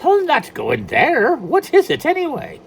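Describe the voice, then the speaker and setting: A storyteller voicing a small, angry male leprechaun who is touchy and proud, speaking slowly and indignantly. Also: high voice, huge pitch swings, gravelly, a little hiss or hum, voice pushed up, exaggerated voice. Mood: scoffing. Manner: indignant, slow and deliberate.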